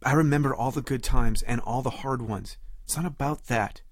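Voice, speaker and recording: A man's voice: mid-range voice, moderate pace, no echo, studio quality, lightly acted. A man in his thirties playing a wounded, indignant boyfriend on a call in an audio drama, with a defensive, emotional delivery. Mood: guarded. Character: indignant, wounded 35-year-old boyfriend on the phone.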